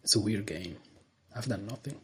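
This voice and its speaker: A man with a deep voice talking quietly through his moves as he plays an online chess game. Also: normal speed, breathy edge. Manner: quiet, low-voiced thinking aloud. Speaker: chess streamer. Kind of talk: live game commentary.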